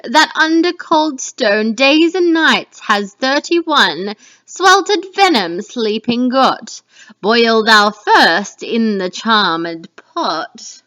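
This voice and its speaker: A woman playing a menacing witch in a dramatic Shakespeare reading, chanting spells with slow, emphatic, drawn-out words. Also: high voice, bright, forceful tone, full character voice, rough recording.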